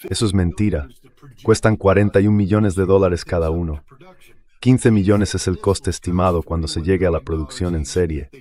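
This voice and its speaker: A man's voice: deep voice, steady tone, moderate pace, warm tone. A man reading a dubbed political and military commentary in an emphatic, explanatory way, in short declarative sentences. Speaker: translated voice-over of an interview guest.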